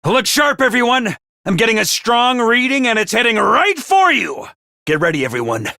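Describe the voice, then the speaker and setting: A man voicing a peppy, bossy little mascot commanding officer in a video game, with a high cartoonish voice and theatrical, jaunty drill-sergeant delivery. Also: big pitch swings, normal speed, gravelly, bright, forceful tone, no echo, clean studio sound, heavily acted. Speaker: voice actor for a video game character. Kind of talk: animated video game voice acting.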